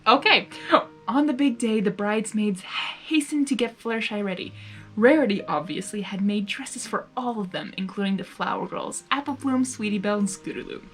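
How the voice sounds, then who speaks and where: Expressive woman reading aloud over music. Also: medium pitch, moderate pace. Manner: expressive, giggly, reacting aloud to the story. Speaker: fan fiction reader and host. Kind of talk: live blind reading of a story with excited reactions.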